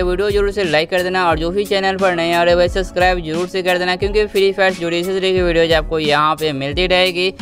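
High-pitched young man over music. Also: fairly bright tone. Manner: repetitive, addresses listeners as brother. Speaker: gaming video host. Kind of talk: casual tutorial voice-over. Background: background music.

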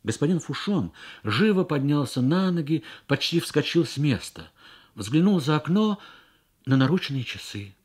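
A man narrating a literary story for radio in a measured, expressive manner with deliberate pauses. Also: a little high, husky.

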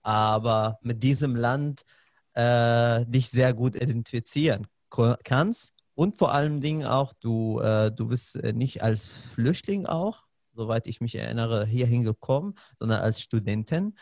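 A man's voice: medium pitch, unhurried, darkish tone, dry recording. A man in his thirties hosting a podcast, asking interview questions with frequent fillers, on a studio mic in a small room. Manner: hesitant with many fillers. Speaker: podcast host. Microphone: studio mic with headphones.

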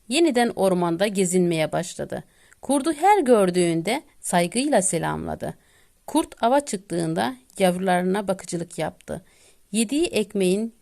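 A woman narrating a children's bedtime tale as a storyteller, delivering it deliberately in short phrases with pauses. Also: on the low side, lively intonation, vocal fry, dark tone.

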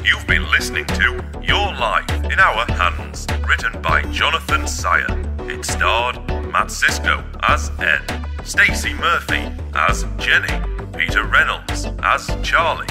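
A man announcing in the style of scripted credits narration for an audio production. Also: mid-range voice.

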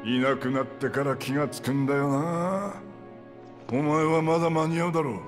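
An actor playing a rough, warm-hearted fighter in a Japanese video game cutscene, speaking in blunt masculine slang. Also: male voice, on the high side, steady tone, moderate pace, lightly acted. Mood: low, reflective. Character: rough, warm-hearted tough male fighter, loyal comrade. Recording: music behind the dialogue.

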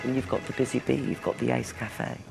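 Gravelly-voiced man explaining history. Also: mid-range voice, brisk, over music. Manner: explanatory, lecturing. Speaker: historian interviewee.

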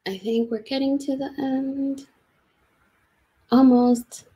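A woman's voice: sits high, steady tone, slow, dark tone, low-quality recording. A woman illustrator giving informal, self-deprecating commentary on a drawing demo, explaining as she goes on a video call.

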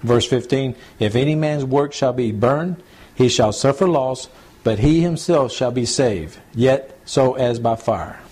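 A man, a pastor teaching scripture to a small home church group in a relaxed, repetitive, conversational way. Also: mid-range voice, a little slow, breathy edge. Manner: conversational, repeats points, addresses group as y'all. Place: small home gathering, recorded to CD.

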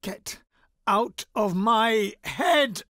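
A bookish fantasy game wizard, wry and guarded with an anxious edge. His delivery is articulate and very lively in pitch. The sound is dry and close. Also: very slow, no room sound, exaggerated voice. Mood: urgent, desperate. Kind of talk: video game voice lines.

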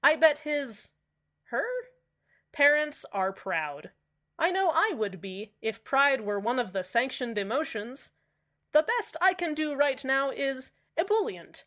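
Woman acting as slow, deadpan community radio host. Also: high-pitched, full character voice. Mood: deadpan, chatty. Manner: deadpan, measured, with deliberate pauses. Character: eerily cheerful female small-town community radio host, uneasily upbeat. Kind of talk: scripted fiction podcast as community radio broadcast. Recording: music under the voice. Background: background music.

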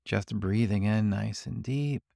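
Male meditation guide: lowish, creaky voice, calm and gentle delivery, leaving long pauses between instructions. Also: dry recording, clean studio sound. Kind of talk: guided body scan meditation.

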